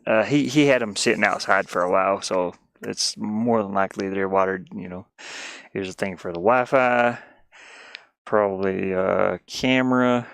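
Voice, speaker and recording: A man's voice: lowish voice, moderate pace, creaky, a bit bright, clean tone, dry recording. A man live streaming with casual, rambling chat in a scattered, self-deprecating manner. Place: inside a small cluttered van.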